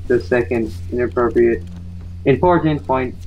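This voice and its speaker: A young man in a gaming video, switching between casual chat and halting reading aloud, stumbling over words. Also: mid-range voice, lo-fi sound. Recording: music playing over the voice.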